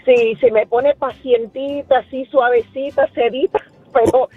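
A woman's voice: high-pitched, fairly even intonation, a little slow. A woman with a Puerto Rican accent calling in to a radio show, chatty and self-deprecating. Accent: Puerto Rican Spanish. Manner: chatty, self-deprecating, laughs as she talks. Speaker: caller. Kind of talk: casual phone-in chat. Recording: muffled phone line.

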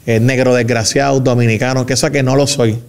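Puerto Rican man, interview guest, measured, emphatic, restarts often, middle pitch. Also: level tone, vocal fry, clean tone. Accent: Puerto Rican Spanish. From Puerto Rico. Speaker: government spokesman guest. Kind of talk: radio interview.

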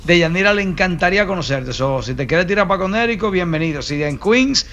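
A man's voice: high-pitched, animated, brisk, fairly bright tone. A man giving casual immigration advice on a call-in radio show, teasing and dropping in English words.

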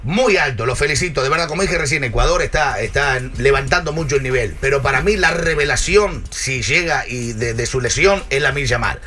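A man's voice: mid-range voice, animated, fairly quick, hardly any pauses, sharp tone, slight background noise. A man, a pastor hosting a casual radio chat, joking and chatty. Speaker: pastor, radio show host.

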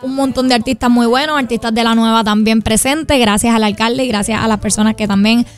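A woman's voice: on the high side, fairly even intonation, fairly quick, clean tone, faint music behind. A young woman with a Puerto Rican accent, talking in an informal, flowing way as a singer-songwriter in a radio interview. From Puerto Rico. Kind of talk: conversational promotional radio interview.

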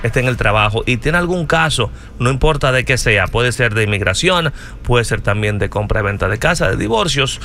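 A man hosting a live radio call-in show, bantering and pitching to listeners in a promotional style. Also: lively intonation, a little fast, bright, forceful tone, a little hiss or hum.

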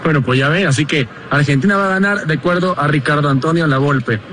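Man, field reporter, slightly high pitch, impromptu interview, short informal questions, mildly noisy recording. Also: fast talker, fairly bright tone. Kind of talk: impromptu street-style interview.

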